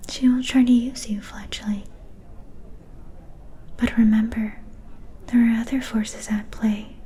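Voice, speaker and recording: A woman playing a mysterious, soft-spoken vampire seer in an ASMR fantasy roleplay, whispering in a breathy, ominous and cryptic way. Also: fairly high pitch, fairly even intonation. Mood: knowing, quietly scornful. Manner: slow, deliberate, cryptic.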